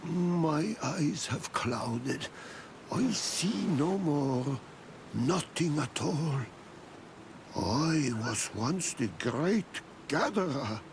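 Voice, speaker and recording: A sad proud blind gatherer in a game, gravelly and halting, voice swings up and down, some background noise. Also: male voice, a little high, lively intonation, exaggerated voice. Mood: mournful. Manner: halting, solemn, mournful. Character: despairing blind herb gatherer, proud male villager. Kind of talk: video game cutscene acting.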